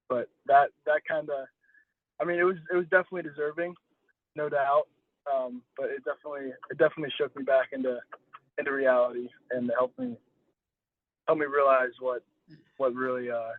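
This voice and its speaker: A young man answers interview questions casually in a mid-pitched voice, circling back and rephrasing as he talks. The sound is a lo-fi phone call, dull and dry, with no sense of room. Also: steady tone, brisk, no room sound. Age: young adult. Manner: hedging, self-correcting, restating phrases. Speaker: college baseball pitcher being interviewed.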